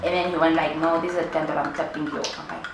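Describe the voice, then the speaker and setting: A woman's voice: deep voice, little pitch movement, quick pace. Namibian woman storytelling in an echoey room. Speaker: guest storyteller. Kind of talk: casual personal storytelling. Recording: echoey room with background noise.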